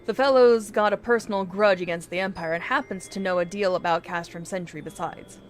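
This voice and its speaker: A woman's voice: medium pitch, fairly even intonation, fairly quick. A woman game streamer reading video game dialogue aloud in character, with casual asides. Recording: music behind the voice.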